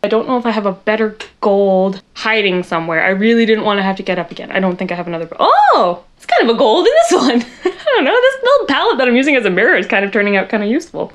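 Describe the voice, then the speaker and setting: A young woman with a loud voice chatting casually in her bedroom while doing a makeup tutorial, rambling and poking fun at herself. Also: medium pitch, sing-song, fast talker, slight background noise. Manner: chatty, rambling, self-deprecating, talks to viewers while working. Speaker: makeup vlogger.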